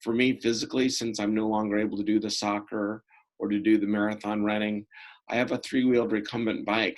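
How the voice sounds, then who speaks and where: A middle-aged man speaks in a fairly low voice as an interview guest. He explains things in a measured way, often pausing mid-thought before carrying on. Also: low-quality recording. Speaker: interview guest, school prevention programs coordinator. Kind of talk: conversational interview answers.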